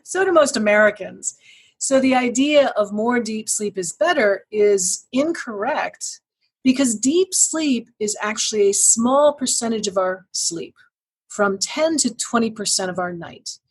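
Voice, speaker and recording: Woman, husky tone, articulate and measured, clinical expert guest. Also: fairly high pitch, lively intonation, low-quality recording. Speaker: sleep medicine clinician guest. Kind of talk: explanatory interview answers.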